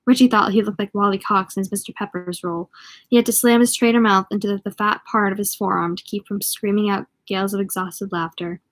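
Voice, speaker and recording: A woman's voice: mid-range voice, fairly even intonation, fast talker. A woman reading a novel aloud as an amateur, sometimes tripping over words and going back over phrases. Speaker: amateur audiobook reader.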